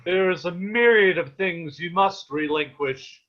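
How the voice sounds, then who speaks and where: Man playing stern medieval churchman, high-pitched for a man, formal, commanding, dramatic table read, dull sound. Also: measured pace, a little hiss or hum. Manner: formal, imperious. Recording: dull, lo-fi video call audio.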